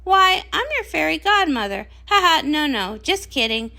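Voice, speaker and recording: A woman's voice: sits high, sing-song, moderate pace, vocal fry, bright, forceful tone, heavily acted. A woman voicing a whimsical, boastful magical wishing well nymph in a comic read-along, chatty and theatrical. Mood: playful, grand.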